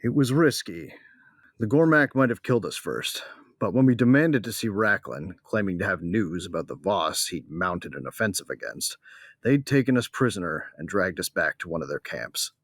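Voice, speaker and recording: A man's voice: low-pitched, lively intonation, normal speed, a bit bright, no echo, studio quality, full character voice. A man playing a grieving, embittered bounty hunter who narrates his own story in an audio drama, brooding and terse. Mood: grim, wry.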